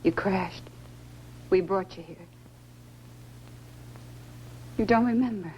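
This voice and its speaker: An actress playing a composed, concerned scientist in the style of a 1950s science fiction film. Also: female voice, medium pitch, moderate pace, a little mellow, a little hiss or hum, lightly acted. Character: concerned, composed female scientist tending a crashed astronaut. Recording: dull old film soundtrack, faint music.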